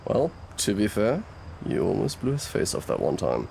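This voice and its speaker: An actor playing a wry, easygoing, protective supernatural detective who teases casually in an audio roleplay. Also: male voice, on the low side, wildly swooping pitch, moderate pace, gravelly, heavy background noise, heavily acted. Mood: amused, wry. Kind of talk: ASMR audio roleplay acting.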